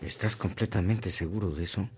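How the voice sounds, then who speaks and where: A man's voice: deep voice, a little fast, darkish tone, soft background music, natural voice. An actor playing an earnest, conscientious young man on a family farm in a radio drama, polite, restrained and firm.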